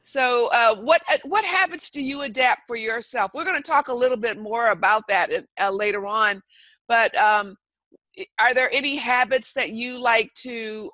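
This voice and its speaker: A woman's voice: a little high, pushed and bright, no echo. A woman hosting an interview, chatty and encouraging with her guest. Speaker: host, coach.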